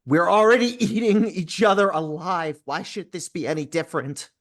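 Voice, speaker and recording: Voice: medium pitch, normal speed, close to an everyday voice. Performer as fanatical daughter, serene and eerie, mid-range voice, stage-musical table read. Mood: serene menace. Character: dreamy, fanatical daughter enthralled by killer plants.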